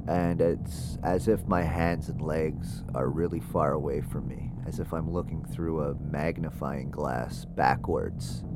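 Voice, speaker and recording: A haunted, introspective audio drama narrator with a very deep voice. He confides haltingly and uneasily, as if piecing his story together. Music plays clearly behind him. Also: exaggerated voice.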